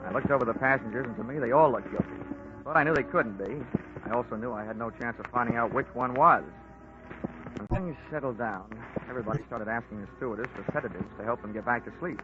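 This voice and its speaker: A man's voice: mid-range voice, fast talker. Brief: man, dry wisecracking radio detective narrator, mid voice, dull old broadcast with music under it. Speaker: insurance investigator and narrator. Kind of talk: 1940s radio acting.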